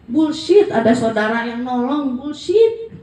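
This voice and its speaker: A woman's voice: high-pitched, lively intonation, a little slow, warm tone, roomy sound, distant mic. A woman in her forties giving a blunt, emphatic motivational talk in a casual, colloquial way. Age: 40s. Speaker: network marketing presenter.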